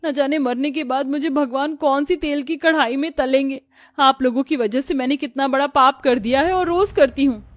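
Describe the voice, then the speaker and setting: A woman's voice: high-pitched, moderate pace, no echo, clean studio sound, natural voice. A woman voicing a distressed, tender-hearted young Indian daughter-in-law, plaintive and lamenting, in a cartoon story. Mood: reproachful.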